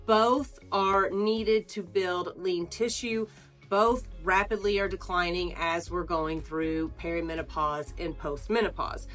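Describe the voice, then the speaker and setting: A woman's voice: on the low side, steady tone, faint music behind. Slightly nasal woman coaching fitness. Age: 40s. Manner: warm, earnest, explains step by step with pauses. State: getting over the flu. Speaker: wellness coach. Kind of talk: instructional talk to camera.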